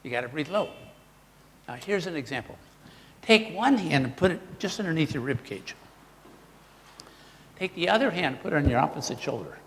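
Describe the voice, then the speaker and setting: A man leads a live workshop, talking with lively swings in pitch and acting out example voices as he teaches. His voice sits a little high for a man. It was recorded in a large hall with a long echo and a faint hum of room noise. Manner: animated, demonstrative, models voices for the audience. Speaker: workshop presenter.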